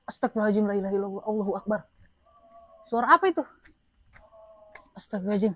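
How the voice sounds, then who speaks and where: A frightened woman shouting repeated prayers in panic and calling out for help. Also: mid-range voice, racing. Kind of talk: frantic real-time reaction.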